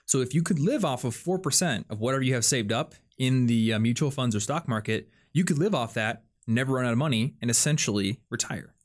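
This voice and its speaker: A young man talks through ideas on a casual podcast. He explains loosely and often fills pauses with "like" and "um". His voice sits in a middle range. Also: mid-range voice, expressive. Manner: chatty, explains things with lots of "like" and "um". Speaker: podcast host.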